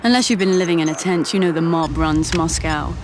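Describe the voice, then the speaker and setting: A woman's voice: low-pitched, a little fast, noisy background, natural voice. A woman playing a female intelligence analyst, briefing in a dry, matter-of-fact way in a game cutscene.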